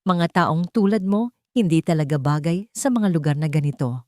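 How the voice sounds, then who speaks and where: A woman's voice: deep voice, normal speed, warm tone, no room sound, studio quality, lightly acted. Haughty, scolding rich society woman, as a narrator voices her. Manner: scolding, contemptuous.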